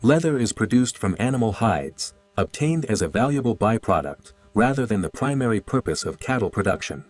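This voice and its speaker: A man's voice: fairly low pitch, big pitch swings, brisk, hardly any pauses. A man reading scripted explanatory narration as a narrator.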